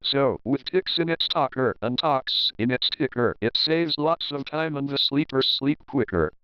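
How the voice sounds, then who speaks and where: A man's voice: medium pitch, measured pace, rough recording. A man narrating a children's picture book as a readalong, reading rhyming verse expressively and rhythmically. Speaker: storybook narrator.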